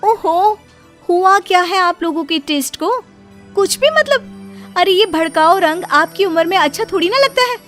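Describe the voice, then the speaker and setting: High, lively, sharp-tongued young daughter-in-law acted by a woman. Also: moderate pace, soft background music. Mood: disapproving. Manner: sarcastic, dramatic, argumentative. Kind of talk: cartoon family drama acting.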